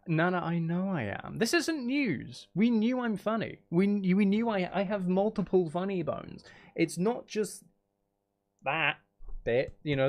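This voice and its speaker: A man chatting casually as a livestream host, with playful, teasing, rambling banter and self-deprecating humour. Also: fairly high pitch. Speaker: livestream host chatting with viewers while playing a game. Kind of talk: casual livestream chat with gameplay commentary.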